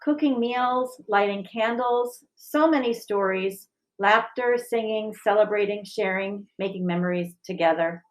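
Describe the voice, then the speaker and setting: Mid-pitched woman sharing a children's book. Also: measured pace, few pauses, rough recording. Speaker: school staff member reading aloud. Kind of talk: children's storybook read-aloud and informal discussion.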